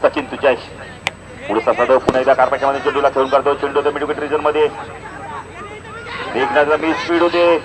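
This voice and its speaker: A man's voice: medium pitch, little pitch movement, quick pace, pauses often, noisy background. A man with a Maharashtrian accent doing live cricket commentary and announcements. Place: outdoor cricket ground. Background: crowd.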